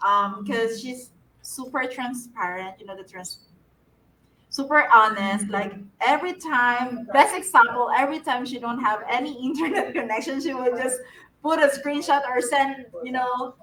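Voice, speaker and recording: A woman's voice: sits high, normal speed, distant mic, a crowd in the background, low-quality recording. A Filipino woman with a Filipino accent, chatting casually and fondly on a live video call, laughing often between fillers. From Philippines. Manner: giggly, affectionate, many fillers. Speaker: team member. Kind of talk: casual live stream chat.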